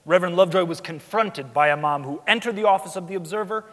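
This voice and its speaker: High-voiced young man narrating onstage. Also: fast talker, fairly bright tone. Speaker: stage narrator. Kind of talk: scripted dramatic narration. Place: large theatre stage. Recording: echoing theatre stage.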